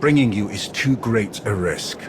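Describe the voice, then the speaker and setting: An actor playing a stern, commanding veteran warrior in a video game, speaking formally and with authority. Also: male voice, lowish voice, expressive, unhurried, noisy background. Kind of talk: video game cutscene acting.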